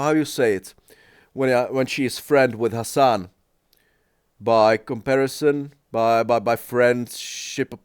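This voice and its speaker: Slow, halting non-native English-speaking man. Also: mid-range voice, clean tone, dry recording, studio quality. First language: not English. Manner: halting, searches for words, many restarts. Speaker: reaction video creator. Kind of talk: casual reaction commentary.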